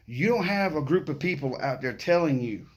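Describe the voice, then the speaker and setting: Middle-aged man who stutters, upbeat and playful, pitched a bit high, talking straight to camera. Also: expressive, moderate pace. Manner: upbeat, playful, keeps adding "okay?". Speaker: vlogger. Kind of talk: casual motivational talk to camera.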